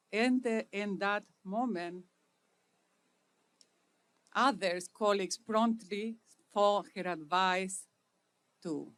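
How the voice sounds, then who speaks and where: Middle-aged Chilean woman, Spanish native, formal ceremony speaker, deliberate phrasing, mid-pitched. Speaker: speaker at an inauguration. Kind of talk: formal prepared speech.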